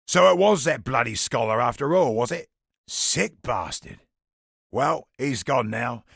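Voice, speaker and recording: Husky trader from a fantasy game, roguish n wry, voice goes up and down a lot. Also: male voice, mid-range voice, fairly bright tone, no echo, clean studio sound, heavily acted. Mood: grim disgust. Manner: colloquial, wry storytelling with British slang. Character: roguish, chatty male merchant, wry and worldly. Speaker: merchant character in a fantasy video game. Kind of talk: scripted video game character dialogue.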